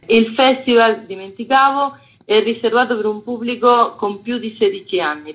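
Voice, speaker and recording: A woman's voice: medium pitch, dull audio. A woman who organises theatre events, explaining things as a guest in a radio interview.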